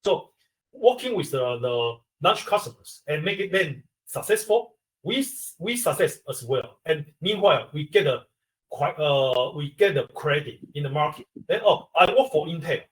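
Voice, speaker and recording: Taiwanese-accented man, expert guest, slightly high voice, halting explanatory English, distant mic on a video call. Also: animated. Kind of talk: webinar answer to a question.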